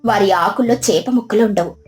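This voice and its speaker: A woman's voice: medium pitch, brisk, faint music behind. A woman storyteller reading a scripted moral tale as narration.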